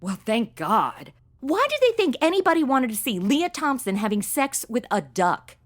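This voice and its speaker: A sassy modern young secretary, high-voiced and very animated. She blurts sarcastic, casual remarks and is easily worked up, in the manner of audio comedy. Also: moderate pace.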